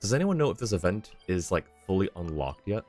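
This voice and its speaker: Man, deep voice, gaming streamer, casual chat, friendly, apologetic, faint background music. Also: sing-song, a little fast, darkish tone. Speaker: livestream host, gamer. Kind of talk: casual game stream chat, reading chat and game dialogue aloud.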